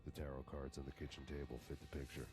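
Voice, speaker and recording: Very deep, deadpan noir avenger. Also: male voice. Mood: grim, wry. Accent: American. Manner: deadpan, grim, measured. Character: brooding hard-boiled male avenger narrating his own noir story. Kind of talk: scripted noir game narration. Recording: music behind the voice.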